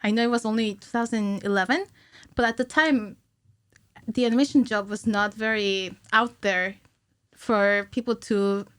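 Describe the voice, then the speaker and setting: A woman's voice: mid-range voice, steady tone, moderate pace, fairly bright tone, smooth, dry recording. A woman around her thirties in casual interview chat as a guest, explaining things in a giggly, informal way. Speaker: podcast guest, animator. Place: small indoor podcast studio. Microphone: close studio mic on a stand.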